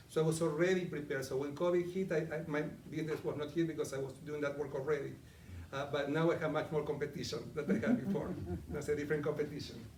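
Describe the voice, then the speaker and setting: A man's voice: on the high side, a little mellow, recorded from a distance, slight background noise. Argentinian man talking in an echoey room. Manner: conversational, asks the audience questions. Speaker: coaching presenter. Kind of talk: live interactive presentation. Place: meeting room with a live audience.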